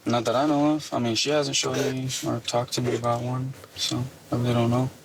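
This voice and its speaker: A man's voice: medium pitch, little pitch movement, a little fast, creaky, crowd noise. A young man from Puerto Rico, not a native English speaker, giving casual, hesitant and evasive answers as a driver questioned by police, on body camera audio. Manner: hesitant, hedging.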